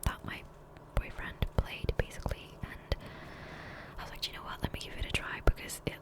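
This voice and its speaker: Woman, whispered voice, soft and breathy, close microphone, casual rambling gameplay ASMR, chatty. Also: fairly quick. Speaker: gaming ASMR creator. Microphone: close USB condenser microphone.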